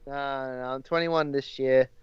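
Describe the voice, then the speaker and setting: Young English man, smooth dry voice. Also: mid-range voice, steady tone, unhurried, no echo. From England. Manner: rambling, many restarts. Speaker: podcast guest. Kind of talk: casual chat. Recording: dry, slightly dull audio.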